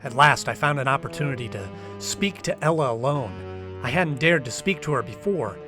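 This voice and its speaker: A man's voice: mid-range voice, expressive, moderate pace, lightly acted. A man playing an earnest, anxious trapped diarist in an old-time radio drama, speaking uneasily. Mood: uneasy, confiding. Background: music.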